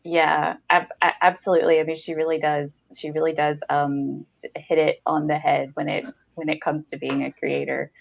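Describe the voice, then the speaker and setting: Halting, gushing woman podcast host. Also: low voice, flat delivery, creaky, dull audio. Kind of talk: casual interview hosting. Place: indoor room at home, video call. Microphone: video call, headset.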